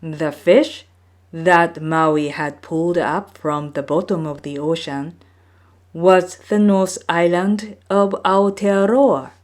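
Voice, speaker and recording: A woman reading from a folktale book as a storyteller, with measured, deliberate phrasing. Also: on the low side, steady tone, darkish tone. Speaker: storyteller, reader. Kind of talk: scripted narration of a folktale book.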